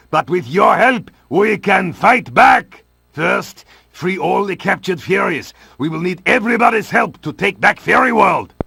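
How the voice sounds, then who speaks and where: An actor playing a gruff, bombastic fairy warrior commander in a cartoon game, declaiming orders in a raspy voice. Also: male voice, high-pitched, bright, forceful tone, no room sound, full character voice. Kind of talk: cartoon video game acting.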